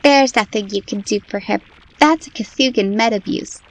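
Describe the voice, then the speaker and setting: A woman's voice: mid-range voice, moderate pace, lightly acted, poor audio. A woman voicing an eerie, knowing child in a comic dub, explaining things in a detached, matter-of-fact way. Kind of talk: comic dub character acting.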